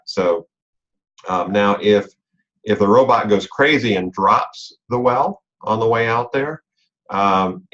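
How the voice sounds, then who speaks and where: An American man explaining rules informally and step by step, as a coach leading a conference call. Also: sits low. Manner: conversational, explanatory, talks through rules step by step. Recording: dull, lo-fi conference call audio.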